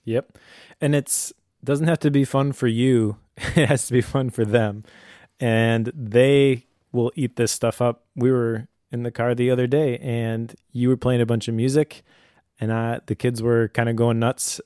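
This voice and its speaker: A man in his thirties speaks at an average pitch with very little room sound. He explains things in a casual, conversational manner, like a dad sharing advice as co-host of a talk. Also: steady tone, unhurried, darkish tone, no echo, studio quality. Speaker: co-host, homeschooling father.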